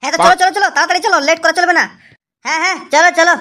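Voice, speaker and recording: A cheeky young cartoon lad played in a high voice talks quickly to his viewers. He is chatty and excitable, repeating himself as he goes, in a mock-vlog comedy style. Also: full character voice. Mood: excited. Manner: chatty, repetitive, addressing viewers.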